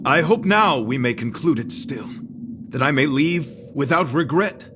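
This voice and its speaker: A man's voice: on the high side, lively intonation, a little slow, noisy background. A man playing an earnest, courtly knight devoted to beauty in an English game dub, speaking in a formal, florid, theatrical and solemn way. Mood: courteous, sincere. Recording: music behind.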